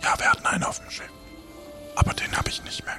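A performer playing a blunt, crude crewmate in an improvised pirate adventure, speaking in a breathy, voiceless whisper. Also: fast talker, pushed and bright, soft background music. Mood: hushed.

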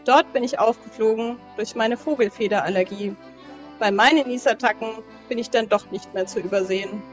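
High-voiced young woman playing along. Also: brisk, lo-fi sound. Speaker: guest player. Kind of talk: casual in-character talk in a role-playing game. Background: music.

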